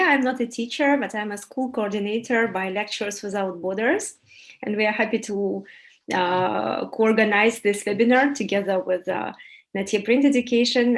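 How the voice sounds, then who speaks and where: Woman introducing herself on a webinar. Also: mid-range voice. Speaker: school coordinator. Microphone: video call.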